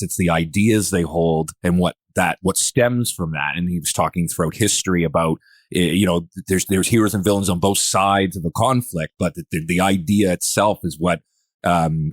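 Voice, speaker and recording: A man's voice: low voice, dry recording, clean studio sound. A man hosting a casual podcast discussion, conversational and rephrasing his ideas as he goes. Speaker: podcast host.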